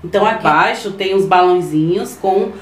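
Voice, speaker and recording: A woman's voice: lowish voice, recorded from a distance. Woman, middle-aged teacher, sing-song patient voice, echoey classroom, heard from across the room. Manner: slow, patient, sing-song teacher talk to small children. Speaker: primary school teacher. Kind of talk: remote lesson for young children. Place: small decorated classroom indoors. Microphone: camera microphone from a few meters away.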